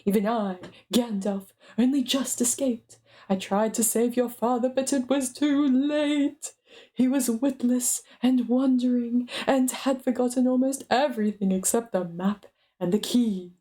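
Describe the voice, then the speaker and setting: Woman acting a stern, mellow-toned old wizard. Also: fairly high pitch, measured pace, close to an everyday voice. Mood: indignant, stern. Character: stern old male wizard, grave and impatient. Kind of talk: dramatic book reading.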